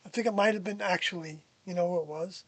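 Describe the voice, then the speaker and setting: An older person reminiscing casually about past experiences, hesitant and pausing often while searching their memory. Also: mid-range voice, fairly bright tone, dry recording. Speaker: interviewee, former member of a group recalling past experiences.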